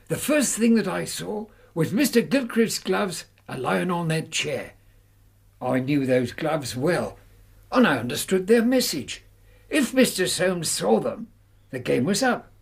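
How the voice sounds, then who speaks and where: A man's voice: fairly high pitch, animated, unhurried, creaky, breathy edge, exaggerated voice. A man playing a loyal elderly English college servant and former butler in a radio drama, deferential, earnest and emotional. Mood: confessing, emotional. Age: older. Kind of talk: British radio drama acting.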